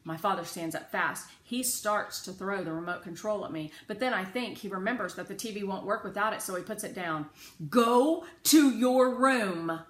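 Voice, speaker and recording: Bright-voiced woman reading a children's story. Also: medium pitch, a little fast, few pauses. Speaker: read-aloud narrator. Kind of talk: children's audiobook-style storytelling. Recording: roomy echo with faint background noise.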